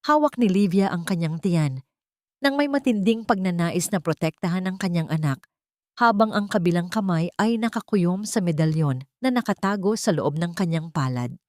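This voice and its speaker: A woman's voice: on the low side, expressive, moderate pace, a little mellow, no echo, clean studio sound. A woman storyteller reading a scripted story in a dramatic, suspenseful manner. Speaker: storyteller, narrator.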